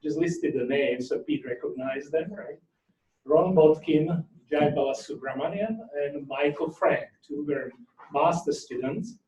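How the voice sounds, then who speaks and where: A man's voice: fairly high pitch, a little slow, a little mellow. Distant, echoey middle-aged Slovak-accented man lecturing. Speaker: academic speaker, researcher. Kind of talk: informal conference talk with reminiscences. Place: indoor lecture room at a workshop. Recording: echoey room, distant microphone.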